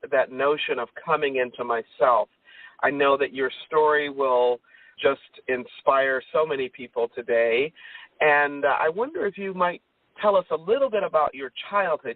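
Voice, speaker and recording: A woman hosting an inspirational radio interview in an earnest, deliberate, emphatic way. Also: deep voice, no echo. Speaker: radio show host. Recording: narrow phone-like sound.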